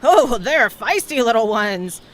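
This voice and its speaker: A woman's voice: fairly high pitch, very animated, measured pace. A woman voicing a stern, scornful alien guard in an audio drama, curt and disdainful. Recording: noisy track with clicks.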